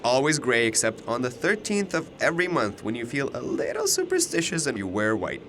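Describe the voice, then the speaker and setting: A man's voice: medium pitch, huge pitch swings, fairly quick, noisy background. A man playing a cocky, chatty young double who knows it all, with a glib, teasing way of talking in an audio drama. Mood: smug. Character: cocky, chatty, all-knowing alternate-universe male double of a student.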